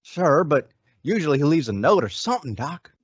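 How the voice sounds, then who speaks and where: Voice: mid-range voice, big pitch swings, a little fast, breathy edge, no echo, muffled recording. A performer in an old-time western radio play, voicing a worried, folksy cowboy sidekick with a rustic drawl.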